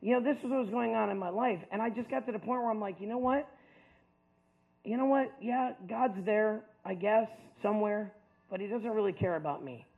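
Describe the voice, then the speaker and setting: High-voiced man telling his story, tinny. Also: fairly quick, creaky. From United States. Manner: earnest storytelling with frequent "like" and "right?". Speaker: speaker giving a personal testimony. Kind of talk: personal testimony talk to an audience.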